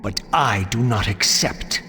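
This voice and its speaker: An actor playing a grim, defiant male wraith hero in a video game, narrating in a measured, grave way. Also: fairly low pitch, sharp tone, a little hiss or hum.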